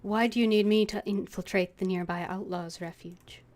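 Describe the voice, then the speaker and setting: Female game streamer, medium pitch, reads on-screen dialogue aloud and thinks out loud. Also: steady tone, moderate pace. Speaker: gaming streamer. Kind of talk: live game commentary, reading dialogue aloud.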